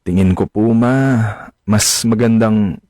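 A man's voice: on the low side, takes it slowly, dark tone, dry recording, clean studio sound. A man acting a caring, respectful young adult son in a radio drama. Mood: gentle, concerned.

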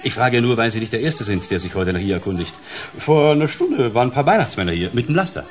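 An actor playing a gossipy, shifty barkeeper in a radio drama, brisk and talkative. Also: male voice, medium pitch, sing-song, faint music behind, natural voice. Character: chatty, shifty male barkeeper.

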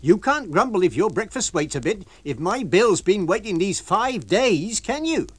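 A shrill, indignant country landlady, put on by a male storyteller, scolding in thick rustic dialect. Her voice leaps high and swings widely as she rails. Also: sing-song, moderate pace, breathy edge, no room sound, exaggerated voice.

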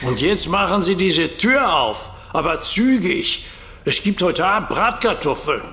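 Aufgeblasenen Wachtmeister, gemächlich und höher. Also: male voice, high voice, big pitch swings, a little slow, pushed and bright, slight background noise, full character voice. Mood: dismissive, bossy. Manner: pompous, bossy. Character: pompous, dismissive male police sergeant. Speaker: actor in an audio drama. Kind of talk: comedic audio drama acting.

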